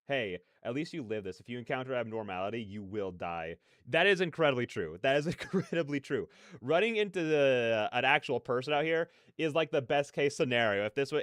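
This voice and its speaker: A man hosts a casual reaction livestream. His voice is mid-pitched, smooth and clean. He sits close to the mic in a very dry-sounding space. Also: lively intonation, fairly quick, fairly bright tone, no echo. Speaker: streamer, reaction host.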